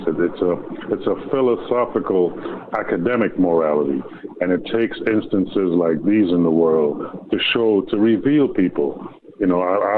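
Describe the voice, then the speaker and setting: Male caller with a very low voice, wordy and discursive, heard over a phone line. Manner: florid, rambling, with many "you know" fillers. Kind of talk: phone-in commentary. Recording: tinny phone line with faint hiss.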